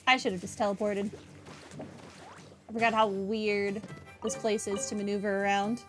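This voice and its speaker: A woman's voice: mid-range voice, little pitch movement, moderate pace, a bit bright, faint music behind. A woman giving casual playthrough commentary, musing to herself in an informal way. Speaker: gaming playthrough host.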